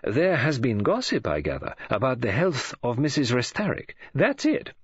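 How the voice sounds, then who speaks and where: A woman's voice: very deep voice, very animated, normal speed, slightly rough, dull audio, voice pushed up, full character voice. A man voicing a chatty, flustered middle-aged English woman novelist in an audiobook, with a gushing, breezy manner. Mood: confiding.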